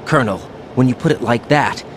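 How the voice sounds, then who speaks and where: An actor playing a questioning, earnest young American soldier in a video game, with an incredulous way of speaking. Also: male voice, mid-range voice, brisk, a little hiss or hum, lightly acted. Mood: doubtful, frustrated. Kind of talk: video game cutscene acting.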